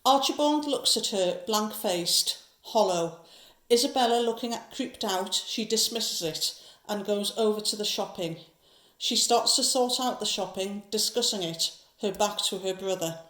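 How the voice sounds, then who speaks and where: A woman reads scripted narration for a horror audio drama. Her pitch is average for a woman, and the room adds clear echo around her words. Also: measured pace, a bit bright.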